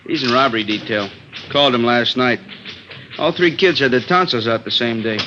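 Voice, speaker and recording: An American actor playing an easygoing, matter-of-fact police detective in a 1950s radio drama. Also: male voice, medium pitch, normal speed, over music, close to an everyday voice. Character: easygoing male police detective, a family man. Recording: muffled vintage 1950s radio broadcast.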